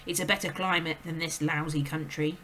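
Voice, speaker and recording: A woman's voice: sits low, fairly even intonation, a little fast, fairly bright tone, slight background noise, rough recording. A woman voicing a bossy, impatient middle-aged father in a storybook read aloud, barking curt orders. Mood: gruff, snapping. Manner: curt, snapping, barked orders.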